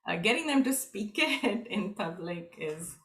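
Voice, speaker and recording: A woman's voice: mid-range voice, lively intonation, a little slow, fairly bright tone. A woman language teacher giving friendly, casual remarks over a video call, with fillers. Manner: friendly, with light laughs and fillers. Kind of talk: casual remarks in a virtual meeting.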